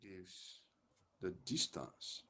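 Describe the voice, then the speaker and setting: A man's voice: sits low, big pitch swings, drawn-out words, gravelly, pushed and bright, husky. A man tutoring physics in an online lesson over a headset on a video call, posing questions and answering them himself. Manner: asks leading questions and fills in the answers.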